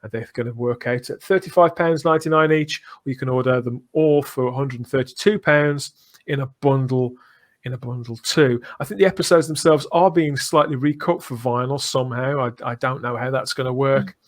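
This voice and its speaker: A man's voice: mid-range voice, brisk. Man hosting a casual, enthusiastic podcast. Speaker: podcast host.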